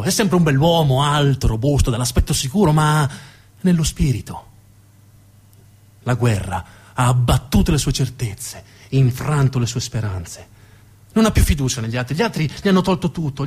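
A man's voice: a little high, breathy edge. A man performing narrative theatre as a stage storyteller, with dramatic delivery and emphatic repetition.

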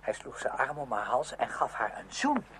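A confiding, moody schoolboy in a radio play. His voice is bright and edged, with very animated intonation. The recording carries a light hum. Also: mid-range voice, normal speed. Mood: eager, gossiping. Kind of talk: Dutch radio play acting. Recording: hum and faint noise, radio drama recording.

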